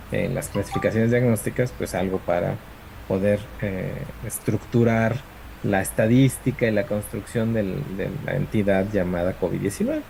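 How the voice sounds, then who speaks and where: A man's voice: on the low side, gravelly, mellow, slight background noise, poor audio. A man, lecturing an online class over a video call, explaining step by step with many fillers and tag questions. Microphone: computer mic on video call.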